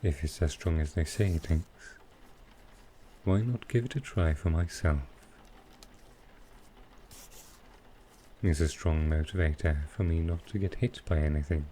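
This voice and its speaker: A man playing a smooth, flirtatious supervillain in an intimate ASMR roleplay, teasing and unhurried. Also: very deep voice, slight background noise. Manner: teasing, unhurried, softly suggestive. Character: smooth, flirtatious male supervillain courting his tailor.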